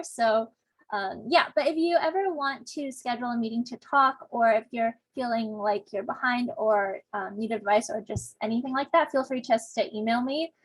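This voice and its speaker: A young woman teaching assistant from Southern California, talking casually and chattily over a video call with plenty of ums and self-deprecating jokes. Her voice is fairly high and a touch husky. The sound is dry, with little room echo. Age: young adult. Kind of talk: casual online discussion section intro.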